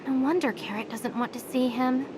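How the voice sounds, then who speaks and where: Woman as a curious, fairly high-voiced young game heroine. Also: brisk, background noise, lightly acted. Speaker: game character voice. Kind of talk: anime game voice acting.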